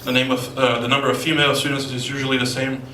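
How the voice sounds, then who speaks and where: A young man from Brazil with a Brazilian Portuguese accent, telling deadpan one-liners in a stand-up set at a comedy club. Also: medium pitch, fast talker, slight background noise. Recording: roomy club, far mic, loud crowd.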